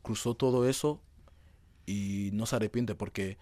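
A man's voice: lowish voice, unhurried, dark tone, dry recording. A young man, giving conversational answers as an interview guest. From Madrid, Spain. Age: young adult.

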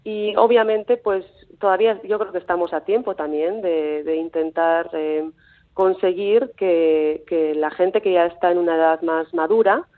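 A woman's voice: on the low side, measured pace. A Basque-born woman in her thirties with a Mexican-tinged Spanish accent, a coastal researcher speaking hesitantly with many fillers and restarts. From Basque Country. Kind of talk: phone interview. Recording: tinny phone line.

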